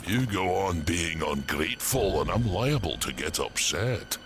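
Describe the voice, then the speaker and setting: An actor playing a gruff, proud, irritable giant creature in a video game, with a taunting manner. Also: male voice, low voice, expressive, measured pace, fairly bright tone, soft background music. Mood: irritated. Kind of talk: video game cinematic acting.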